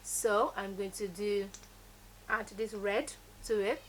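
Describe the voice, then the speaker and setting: A woman's voice: mid-range voice, steady tone, slow, a little hiss or hum. Woman teaching makeup. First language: Yoruba. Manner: instructive, emphatic, repeats key words. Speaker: makeup tutorial host. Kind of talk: step-by-step beauty tutorial.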